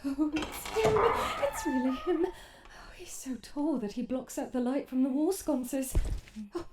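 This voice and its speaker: A woman's voice: high-pitched, unhurried, background noise. A woman playing a sheltered, romantic young princess in an ASMR audio drama, with flowery, theatrical storybook phrasing. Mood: breathless, giddy. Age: young adult. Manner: theatrical, flowery, prim storybook phrasing, sighing, often half-whispering.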